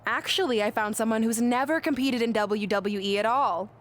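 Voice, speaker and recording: A woman's voice: a little high, normal speed, lightly acted. A woman acting a scheming, self-satisfied female wrestling boss in a video game cutscene, mock-sweet and taunting. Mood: smug.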